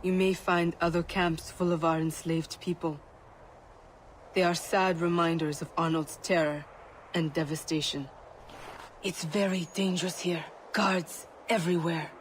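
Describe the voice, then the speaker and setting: An actor playing an earnest, respectful villager in a video game, explaining things in a formal, careful way. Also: male voice, fairly high pitch, fairly even intonation, measured pace, a little hiss or hum, natural voice. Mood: cautioning. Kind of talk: cinematic video game acting.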